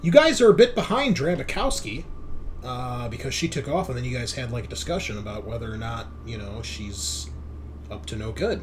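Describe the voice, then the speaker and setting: A man's voice: medium pitch, animated, normal speed. Informal man running a game, slight noise. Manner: informal, sweary, joking. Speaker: game master and host. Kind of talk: casual tabletop game narration with banter. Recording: background noise, room ambience.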